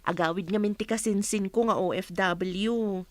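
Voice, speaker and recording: A woman's voice: mid-range voice, moderate pace, dry recording, lightly acted. A woman acting a blunt, gossipy storekeeper in an audio drama, with a direct manner and a Filipino Ilocano accent. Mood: businesslike.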